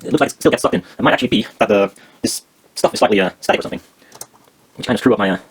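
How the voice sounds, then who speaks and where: A man casually narrating a hands-on DIY build, haltingly thinking aloud as he works. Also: fairly low pitch. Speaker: DIY maker demonstrating a build.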